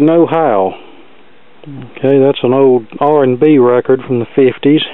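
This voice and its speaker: A man talks casually as a video host, explaining things in a folksy, matter-of-fact way. His voice sits at an average pitch. The sound is close and dry, with a dull, lo-fi edge. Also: no room sound. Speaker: video host, record collector. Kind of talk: casual unboxing commentary with practical advice.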